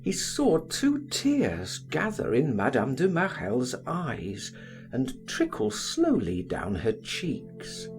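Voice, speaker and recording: A man reading a literary audiobook aloud in a theatrical, deliberate, expressive style. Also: a little high, soft background music, low-quality recording. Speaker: audiobook reader.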